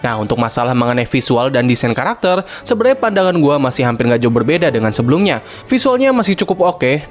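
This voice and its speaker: A young man narrating a scripted cartoon review in casual slang, opinionated and chatty. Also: a little high. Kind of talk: scripted video-essay narration. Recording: voice-over with faint background music.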